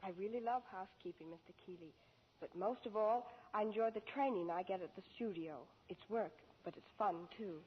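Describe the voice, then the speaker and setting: A woman's voice: medium pitch, a little fast, slightly rough. A young American woman from New York giving a polite, rehearsed 1940s radio endorsement as a starlet guest. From New York, United States. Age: young adult. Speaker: starlet guest in sponsor spot. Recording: muffled, echoing vintage radio.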